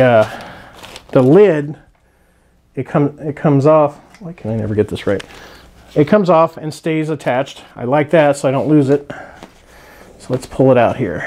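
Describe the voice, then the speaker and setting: Male hiking vlogger, casual gear review, rambling delivery full of fillers and restarts, average pitch, on camera mic off screen. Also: darkish tone, slight background noise. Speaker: hiking channel host.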